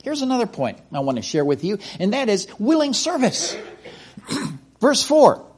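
A man's voice: high voice, sing-song, moderate pace, a little mellow, husky. A middle-aged man from the United States preaching a sermon in a folksy way, often repeating words and restarting sentences.

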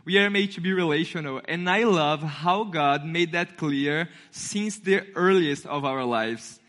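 A man's voice: on the high side, unhurried, a bit bright. A man in his twenties from Brazil with a Brazilian Portuguese accent, a native Portuguese speaker, giving a friendly sermon and acting out the voices in his story. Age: 20s. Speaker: intern and youth speaker.

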